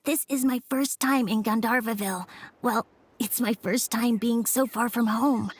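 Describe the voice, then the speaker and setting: A woman's voice: a little high, fairly even intonation, a little slow, slightly rough. A woman voicing an earnest, imaginative young boy in a video game, speaking sincerely. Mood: hopeful, grateful. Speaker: voice actor in a game. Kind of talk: emotive video game story dialogue.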